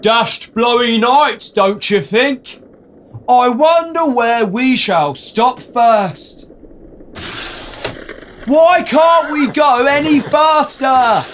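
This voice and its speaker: A man playing a bold, courteous gentleman hero in a period audio drama, speaking with assurance and theatrical deliberation. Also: extremely high pitch, animated, drawn-out words, a little hiss or hum, full character voice. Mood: chatty, probing.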